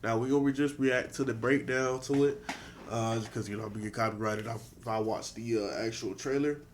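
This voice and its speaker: A man's voice: mid-range voice, steady tone, fairly quick, slight background noise. A man hosting a reaction video, talking in a laid-back, slangy way with a stuffy, congested voice from a cold. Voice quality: stuffy nasal. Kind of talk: casual reaction commentary.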